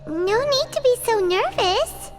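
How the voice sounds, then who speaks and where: Very high, squeaky excitable little fairy girl. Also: unhurried, music in the background. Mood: curious. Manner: whiny, exclaiming, speaks of herself in third person. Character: tiny excitable floating fairy-like girl companion, always hungry. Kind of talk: anime-style video game voice acting.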